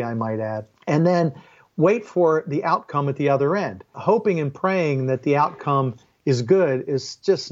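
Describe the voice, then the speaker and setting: A man's voice: mid-range voice, darkish tone. Older man explaining on a dull, lo-fi line. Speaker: interview guest, former airline and Air Force pilot. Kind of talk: explanatory interview talk.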